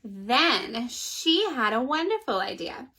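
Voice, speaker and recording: A woman reads a children's story aloud with animated, expressive storytelling. Her voice is high and bright. It rises and falls in lively swings. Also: slow pace, husky, low-quality recording.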